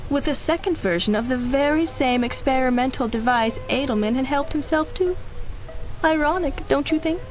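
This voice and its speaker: A woman's voice: sits high, normal speed, soft background music, lightly acted, poor audio. An actress playing a cynical, ruthless female mercenary in a 1930s-style radio drama, speaking with arch, mocking condescension. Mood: smug, teasing.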